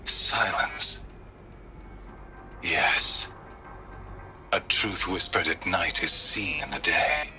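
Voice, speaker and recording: An actor playing a grim, zealous cult leader who speaks in a low, hushed, ominous way. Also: male voice, sing-song, drawn-out words, long pauses, music in the background, heavily acted. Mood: menacing.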